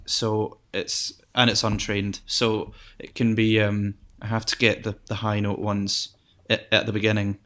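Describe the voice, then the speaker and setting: A young man with a soft voice, a guest musician chatting casually in an interview, relaxed and hesitant with many ums and restarts. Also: fairly low pitch, measured pace, hardly any pauses.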